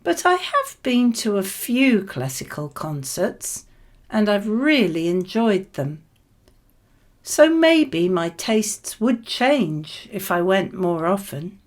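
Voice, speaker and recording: Slow-paced woman giving model exam answers. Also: mid-range voice, big pitch swings. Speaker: model candidate in an English speaking exam lesson. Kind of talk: read-aloud model exam answers, conversational.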